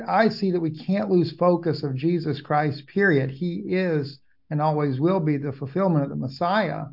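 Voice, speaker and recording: A man's voice: fairly high pitch, vocal fry, highs cut off. A man speaking as an interview guest, giving discursive conversational answers and often backtracking to rephrase. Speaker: interview guest, Bible prophecy commentator.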